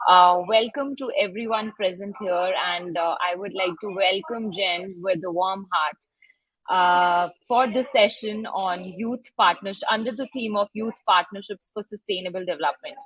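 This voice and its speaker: A woman introducing a guest speaker in a polite, formal way, pausing on fillers as she reads. Her voice is husky, and the sound is dry and close with no room around it. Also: mid-range voice, fairly bright tone, no room sound, low-quality recording. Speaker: session moderator.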